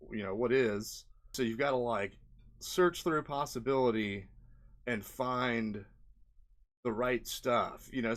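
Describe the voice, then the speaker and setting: A man giving an unscripted, casual walkthrough as a presenter, rambling conversationally and often checking in with the listener. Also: medium pitch. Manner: rambling, conversational, often seeks agreement with "right?" and "you know". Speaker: indie game developer, presenter.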